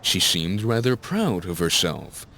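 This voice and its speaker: A man's voice: low voice, big pitch swings, measured pace, creaky, pushed and bright, slight background noise. A man narrating a scripted fantasy story as a dramatic audiobook-style narrator.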